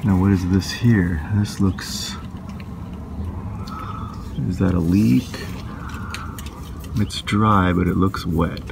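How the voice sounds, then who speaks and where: A man's voice: deep voice, fairly even intonation, slow pace, creaky, pauses often. A man with a stuffy nose and sore throat, talking in a rambling, frank way in a casual vlog from a parked van. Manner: rambling, frank, stop-start. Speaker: van life vlogger. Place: parked van outdoors, windy lot. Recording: outdoor wind and traffic noise.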